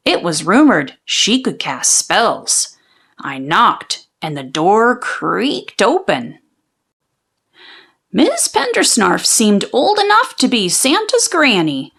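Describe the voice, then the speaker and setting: A woman narrating a children's storybook in an expressive, folksy storytelling manner. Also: mid-range voice, slow pace, vocal fry, breathy edge. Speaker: children's book read-aloud narrator. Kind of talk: animated storybook narration of a folksy tall tale.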